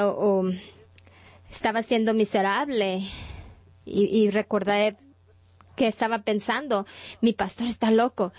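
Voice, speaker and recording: A woman's voice: mid-range voice, no echo. A woman delivering a sermon in Spanish translation, talking in a conversational storytelling way with frequent restarts.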